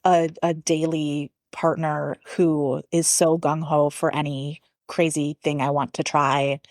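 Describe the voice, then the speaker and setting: A woman's voice: low voice, little pitch movement, takes it slowly, dry recording. A woman in her forties chatting informally and in a self-deprecating way as a podcast guest. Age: 40s. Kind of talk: casual conversational interview.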